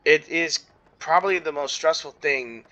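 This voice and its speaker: A man giving casual, rambling game commentary, halting and reacting as he goes. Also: on the high side, pushed and bright, a little hiss or hum, rough recording. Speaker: gaming video maker. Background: game music.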